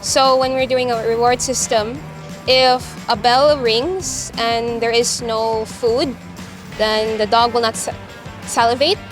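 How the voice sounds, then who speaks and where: Young woman, Filipino accent, slightly high voice, chatty and giggly, music playing behind. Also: fairly even intonation, slow. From Philippines. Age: young adult. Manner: chatty, giggly, searching for words. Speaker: interviewee, psychology student. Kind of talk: casual chat. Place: coffee shop in a mall.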